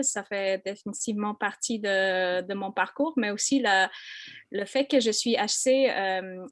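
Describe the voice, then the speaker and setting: A woman's voice: mid-range voice, dry recording. A woman artist talking personally and off the cuff as a panel guest, hesitant and searching for her words. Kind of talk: personal unscripted talk.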